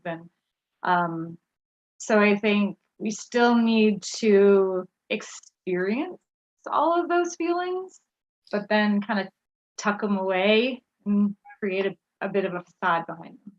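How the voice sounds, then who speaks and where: Female actor, medium pitch, casual and tentative, thinking through answers over a slightly dull-sounding video call. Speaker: actor in a staged reading. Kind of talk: casual post-show Q&A.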